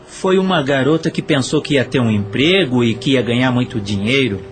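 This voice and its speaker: A man's voice: medium pitch, normal speed, close to an everyday voice. Man playing a subdued, regretful accomplice spirit. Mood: subdued remorse. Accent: Brazilian. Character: subdued male spirit, former brothel accomplice with remorse. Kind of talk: Portuguese-language audio drama acting.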